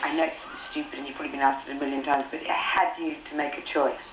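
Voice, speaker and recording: A woman's voice: low voice, flat delivery, fast. A woman working as a television-style interviewer, presenting and putting questions. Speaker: interviewer and narrator. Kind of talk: scripted film introduction and interview questions. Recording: echoey, muffled old videotape with faint hiss.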